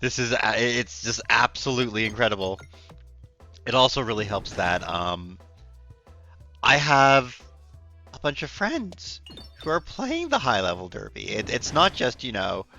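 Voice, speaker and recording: Hoarse man with a lost voice, slow-paced. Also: medium pitch, very animated, pushed and bright, soft background music. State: hoarse, voice nearly gone from yelling. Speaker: game streamer. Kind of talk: casual livestream chat.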